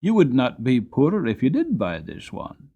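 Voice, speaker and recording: Man acting young boy, storybook character, kind-hearted, pleading, raised pitch, lively intonation. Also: moderate pace. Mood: eager pleading. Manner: pleading, earnest. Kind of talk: dramatic audiobook character voice.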